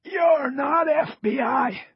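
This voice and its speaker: A man voicing a frail, paralyzed male ex-cop in an audiobook, with a strained, raspy, halting wheeze and a defiant temper. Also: very high-pitched, no echo, highs cut off, pitched above the performer's own voice, full character voice. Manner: halting, defiant, foul-mouthed.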